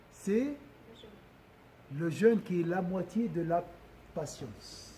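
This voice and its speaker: A man's voice: on the high side, dark tone, a little hiss or hum, poor audio. A man giving a religious lesson to a gathering, often questioning his listeners and repeating and restarting phrases as he explains. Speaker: religious teacher.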